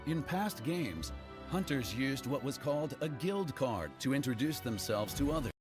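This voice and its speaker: A man's voice: medium pitch, big pitch swings, moderate pace. A man presenting features in a scripted promotional narration. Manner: promotional, smoothly paced presentation. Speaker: showcase presenter. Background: music playing.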